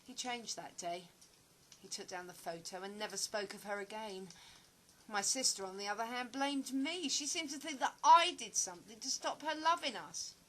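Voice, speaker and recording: A woman's voice: mid-range voice, moderate pace, fairly bright tone, close to an everyday voice, low-quality recording. A woman acting in an audio play as a guarded, wistful character, speaking in a reserved and hesitant way. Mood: wistful, reminiscing. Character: guarded, wistful woman living alone, grieving her father.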